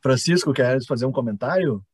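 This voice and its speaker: A man's voice: mid-range voice, animated, fairly quick, slightly rough, dry recording, clean studio sound. A Brazilian man hosting an informal academic online panel as its moderator.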